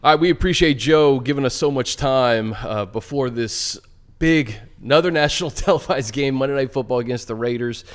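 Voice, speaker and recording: A man's voice: mid-range voice, a little fast. A man hosting a sports talk show, chatting casually and putting questions to his guests.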